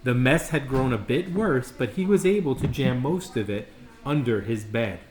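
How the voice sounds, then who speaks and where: A man reading a children's picture book aloud in a friendly, informal way with deliberate phrasing. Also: medium pitch, lively intonation, a little mellow. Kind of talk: read-aloud storytelling with casual asides. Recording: echoey room with faint background noise.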